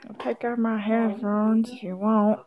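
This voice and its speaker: Slow, halting woman streamer, dull lo-fi mic. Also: fairly high pitch, flat delivery. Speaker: game streamer. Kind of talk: casual live-stream chat while setting up and playing a visual novel. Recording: dull lo-fi mic with music behind.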